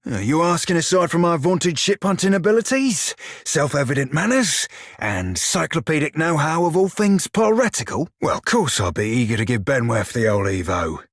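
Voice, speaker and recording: Roguish shiphunter, game character, rough husky voice, salty slang, cocky, dry studio sound. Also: male voice, a little high, sing-song, moderate pace, no room sound. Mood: wry, conversational. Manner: salty archaic sailor slang, cocky and teasing. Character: swaggering, foul-mouthed blue-furred male pirate shiphunter, roguish. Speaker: video game companion character. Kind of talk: video game character dialogue and barks.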